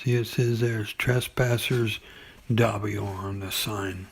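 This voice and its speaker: A man reading a children's bedtime story in an unhurried, halting way, with pauses and small stumbles. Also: fairly low pitch, fairly even intonation, slightly rough. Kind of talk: bedtime story reading with asides and character voices.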